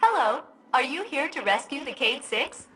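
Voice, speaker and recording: A woman voicing a malfunctioning female ship computer in a video game, split between formal cheer and sullen sarcastic deadpan. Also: sits high, moderate pace, noisy background. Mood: chirpy, formal.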